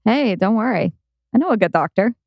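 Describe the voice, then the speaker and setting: A woman playing a warm, playful wife who is a brain researcher, in a script read-through. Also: medium pitch, lively intonation, fairly quick, no room sound, muffled recording. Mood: bright, playful. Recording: music playing behind.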